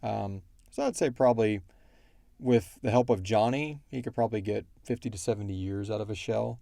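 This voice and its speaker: Low, mellow-voiced man in casual, rambling chat. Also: fairly quick, gravelly, dry recording, clean studio sound. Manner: rambling, quotes characters in brief asides. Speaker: show creator answering listener questions. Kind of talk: casual chat, explaining story lore.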